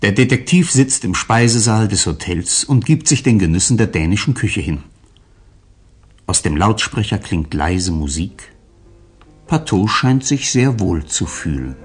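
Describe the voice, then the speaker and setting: A husky narrator of a detective radio play. His low voice tells the story deliberately, with lively rises and falls. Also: faint music behind. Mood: calm. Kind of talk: scripted radio drama narration.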